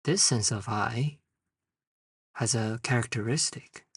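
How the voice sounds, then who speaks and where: Guy doing guided meditation, really slow w long pauses, kinda husky, sounds roomy and far from the mic. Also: medium pitch, studio quality. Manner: unhurried short phrases with long pauses. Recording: distant mic in a roomy, echoing space.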